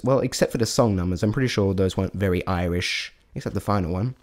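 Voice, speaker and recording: Casting: male amateur reviewer, lowish voice, stammering, unscripted, loses his thread and starts again. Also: fast talker. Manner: hesitant, stammering, often breaking off mid-thought. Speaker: amateur reviewer sharing opinions. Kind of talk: unscripted, rambling spoken review.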